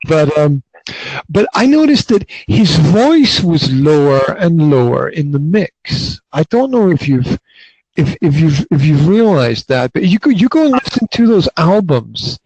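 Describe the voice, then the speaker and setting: A man in his forties with a fairly high voice hosts a relaxed interview chat. He talks quickly in bursts, stumbling over repeated words and restarting sentences. The recording is dry and close. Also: husky, dry recording, low-quality recording. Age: 40s. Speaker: podcast host.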